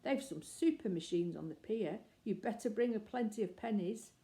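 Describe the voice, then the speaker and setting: A woman's voice: mid-range voice, normal speed, mellow, close to an everyday voice. A woman voicing an eager young boy in a storybook reading.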